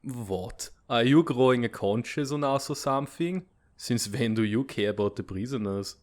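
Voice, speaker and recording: A man's voice: mid-range voice, animated, moderate pace, lightly acted. Man playing a blunt, hard-headed military strategist. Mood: irritated, doubtful. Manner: blunt, matter-of-fact. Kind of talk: dramatic comic fandub acting.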